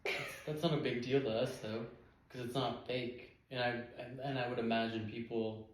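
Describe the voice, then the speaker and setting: A man chatting casually with his partner as co-host of a couple's channel, in halting phrases full of fillers. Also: medium pitch, steady tone. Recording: very echoey room.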